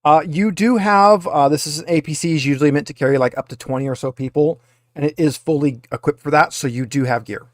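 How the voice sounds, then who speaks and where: A man acting as game master, narrating a tabletop game in a halting, conversational way as he explains things. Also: fairly high pitch, no echo, studio quality.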